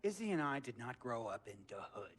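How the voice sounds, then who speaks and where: Theatrical, preachy rich boss. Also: male voice, mid-range voice, very animated, fairly quick, a little hiss or hum. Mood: lecturing. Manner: theatrical, storytelling, swinging from hushed to emphatic. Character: eccentric wealthy sports boss, preachy, slick, controlling man. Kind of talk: dramatic game cutscene monologue.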